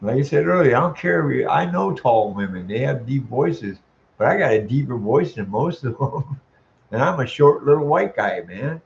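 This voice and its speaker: A man's voice: medium pitch, animated, creaky. Profane ranting man, dull lo-fi sound. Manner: tags sentences with "man", chuckles, stalls on drawn-out "uh". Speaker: vlogger.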